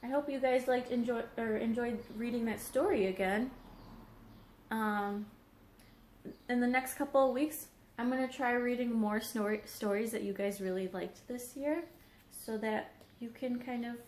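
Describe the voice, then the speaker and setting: A woman reading a children's story aloud as a teacher, expressive, putting questions to young listeners. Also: a little high, normal speed, a little hiss or hum. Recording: roomy echo on the voice.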